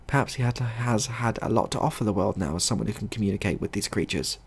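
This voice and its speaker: A man narrating a scripted comic short story as a storyteller, in a husky, gravelly voice. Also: on the low side, fast.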